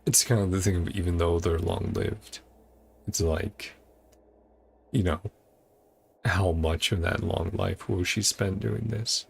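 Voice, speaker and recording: A man's voice: low voice, fairly even intonation, slow pace, vocal fry, pauses often, slightly rough. A man giving casual gameplay commentary, musing aloud and paraphrasing story dialogue in short clipped phrases. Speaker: game streamer.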